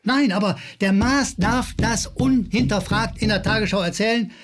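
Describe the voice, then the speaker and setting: Sardonic Rhineland man, somewhat high-voiced. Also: normal speed, fairly bright tone. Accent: slight Rhineland German. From Rhineland, Germany. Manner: sardonic, emphatic, repeats words for effect. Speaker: host of a media criticism show. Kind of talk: opinionated commentary addressed to viewers.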